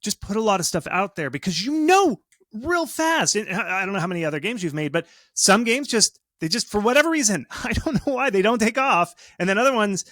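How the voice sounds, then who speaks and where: A man's voice: high-pitched, fairly quick, dry recording, clean studio sound. A man giving casual, conversational expert advice in an emphatic, informal way, often acting out imagined reactions. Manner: animated, acts out imagined reactions and quotes. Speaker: guest expert on game marketing.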